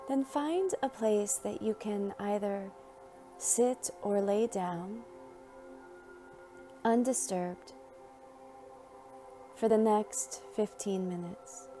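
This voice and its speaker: A woman leads a guided meditation in a mellow, relaxed voice at a medium pitch. She speaks very slowly and gently, letting long pauses fall between short phrases. Quiet music plays faintly behind her. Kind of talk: guided meditation, slow scripted narration.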